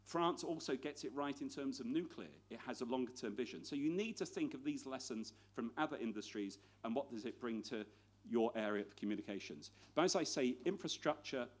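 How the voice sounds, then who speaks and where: A man speaks at a conference panel in clipped, list-like points. His tone stays emphatic and his pitch stays in a middle range. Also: lively intonation, fairly quick. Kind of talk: conference presentation.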